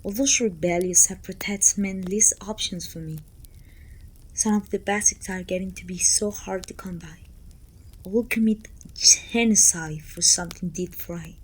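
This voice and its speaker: A woman playing a pompous, self-pitying evil queen who talks down to her servant in an intimate, breathy ASMR roleplay, often dropping toward a whisper. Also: on the low side, a little slow, a little hiss or hum, lightly acted, poor audio. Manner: pompous, petulant, theatrical sighing complaints.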